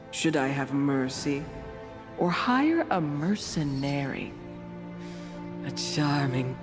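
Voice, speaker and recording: An actress playing a wistful, playful fortune-telling mystic in a video game, with a mysterious, portentous delivery that slips into chatty asides. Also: female voice, fairly low pitch. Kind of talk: theatrical scripted game narration.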